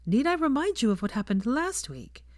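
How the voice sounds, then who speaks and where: A woman's voice: on the high side, big pitch swings, moderate pace, no echo, clean studio sound, voice pushed up. A woman voicing a headstrong, playfully insistent young woman in audiobook story dialogue. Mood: teasing. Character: bright young woman, teasing, headstrong, emotional lover. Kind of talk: fan fiction audiobook dialogue.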